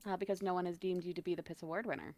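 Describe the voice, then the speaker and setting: A woman's voice: fairly low pitch, steady tone, racing, darkish tone. A woman chatting casually as a livestream guest, with mock-indignant, playfully stubborn banter and casual swearing. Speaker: livestream guest, podcast voice performer.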